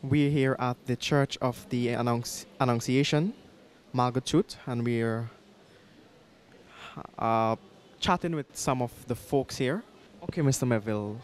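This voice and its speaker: A Guyanese man interviewing a guest in a small church hall, asking formal questions politely into a handheld mic. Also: mid-range voice.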